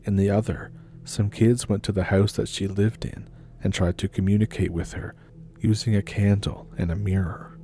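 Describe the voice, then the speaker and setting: A man reading submitted scary stories as a storytelling host, in a measured, unhurried scripted narration. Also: on the low side, flat delivery, warm tone, slight background noise.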